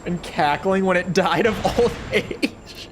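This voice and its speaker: A man's voice: high-pitched, slow, noisy background. A man in his early twenties streams a video game and chats casually, rambling and joking at his own expense. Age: early 20s. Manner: rambling, joking and self-mocking. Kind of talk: casual chat while gaming.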